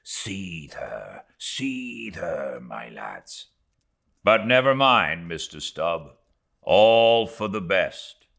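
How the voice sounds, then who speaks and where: A man voicing a stern, earnest whaling first mate in an audiobook, dropping into urgent hushed asides to his crew. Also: low voice, very animated, extremely slow, gravelly, pitched below the performer's own voice, exaggerated voice. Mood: grave, urging. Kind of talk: audiobook character acting.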